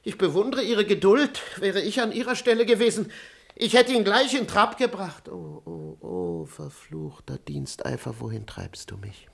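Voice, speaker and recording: A man's voice: high-pitched, very animated, moderate pace, vocal fry, full character voice. A man playing a cowardly, fawning manservant in a 1950s radio play, servile and comically over-eager. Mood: rueful.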